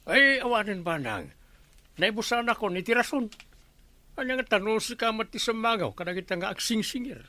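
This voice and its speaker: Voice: medium pitch, lively intonation, normal speed, bright, forceful tone, no room sound. A performer playing a grumbling, evasive villager with an Ilocano accent in a radio comedy drama, asking complaining questions.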